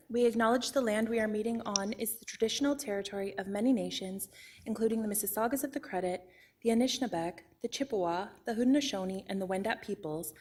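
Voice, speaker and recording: Woman clerk, fast formal reading, slightly raspy voice. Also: mid-range voice. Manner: brisk, steady recitation.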